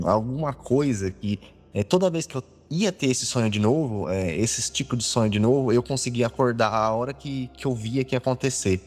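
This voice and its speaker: A man in his twenties with a Brazilian accent telling a personal story informally, recorded on a phone, with reverb and music. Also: on the low side. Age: 20s. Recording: cleaned-up phone audio, long reverb.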